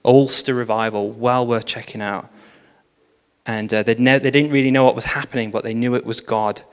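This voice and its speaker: A man's voice: medium pitch, moderate pace, clean tone. Man preaching in a reverberant hall. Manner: storytelling, animated, with fillers. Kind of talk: sermon on revival history.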